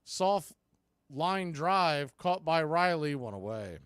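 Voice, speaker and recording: A man's voice: a little high, big pitch swings, slow pace, a bit bright, smooth, no echo, studio quality. A man calling a baseball game live as a play-by-play announcer.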